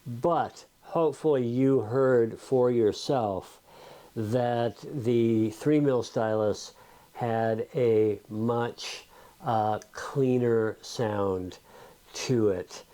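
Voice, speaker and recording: A man's voice: mid-range voice, very slow, mellow. A man hosting a video, talking informally to viewers in an explanatory, conversational tutorial style. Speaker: video host.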